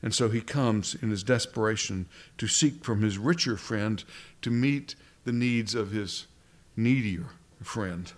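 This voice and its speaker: A man preaching a Bible sermon, often repeating words as he searches for the next phrase. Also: lowish voice.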